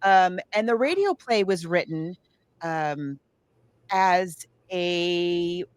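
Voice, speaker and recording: A woman's voice: on the low side, expressive, drawn-out words, clear, resonant tone, dry recording. A woman co-hosting a podcast, chatting casually about books and films in a digressive, thinking-aloud way. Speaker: podcast co-host. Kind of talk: casual book and film discussion.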